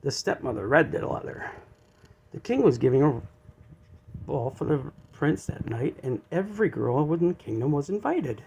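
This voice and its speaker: A man's voice: fairly high pitch, lively intonation, moderate pace, gravelly, a little mellow, muffled recording, a little hiss or hum. A man narrating a children's storybook aloud.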